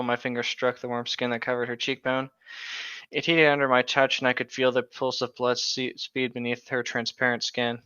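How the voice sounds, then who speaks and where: A man with a bright, smooth, mid-pitched voice speaks as a casual podcast co-host. He is wry and blunt, and the recording is a little dull and lo-fi. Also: no echo. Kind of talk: casual banter and reading a novel aloud with asides.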